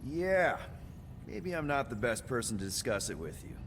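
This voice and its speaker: A young male spaceship leader in a video game, casual and awkwardly sincere. His mid-range voice rings in a reverberant space over faint noise. He talks loosely, with halting turns. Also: medium pitch, big pitch swings. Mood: concerned, friendly. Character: easygoing, awkwardly earnest young human space crew leader. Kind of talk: cinematic video game acting.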